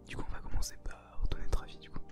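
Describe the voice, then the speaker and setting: A young adult whispers a casual anime review as co-host, in informal youth slang. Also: racing. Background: music.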